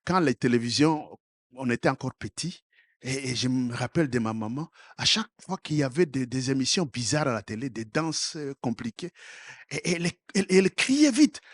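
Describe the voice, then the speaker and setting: A man preaching a sermon as pastor, with a first language other than French, who repeats and restarts his words and rises to loud exhortation before dropping quiet again. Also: mid-range voice, expressive, moderate pace, slightly rough, dry recording, clean studio sound. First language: another African language.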